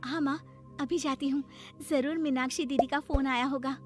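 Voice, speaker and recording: Very high, chirpy village schoolgirl voiced by a woman. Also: fairly even intonation, normal speed, over music, natural voice. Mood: eager. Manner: chirpy, childlike, eager. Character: excitable, naive, boastful village schoolgirl, eager for the city. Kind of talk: Hindi moral-story cartoon dubbing.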